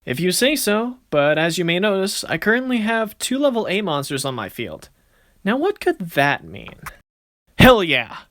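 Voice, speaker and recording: A man's voice: high-pitched, sing-song, moderate pace, a bit bright. A man playing a cocky card duelist in an anime-style series, speaking in a theatrical, smug, declamatory way. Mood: smug, taunting, then triumphant, commanding. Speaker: voice actor in a fan dueling series. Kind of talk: anime-style dramatic battle dialogue.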